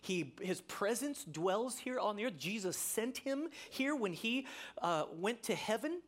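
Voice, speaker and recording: Animated preacher man telling stories. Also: a little slow. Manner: animated storytelling, voice climbing high when excited; emphatic, repeating key phrases. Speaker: pastor. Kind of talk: sermon.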